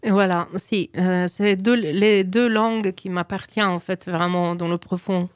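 Smooth, low-voiced woman with an Italian accent. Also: steady tone, a little slow, no echo. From Italy. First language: Sicilian dialect. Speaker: singer and writer, interview guest. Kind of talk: conversational interview answers.

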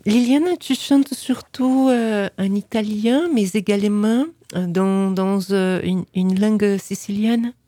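A woman's voice: mid-range voice, unhurried, hardly any pauses, mellow, no room sound. A woman presents a radio interview in an easy conversational style. She takes her time with each phrase, and the studio sound around her is very dry. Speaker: radio host.

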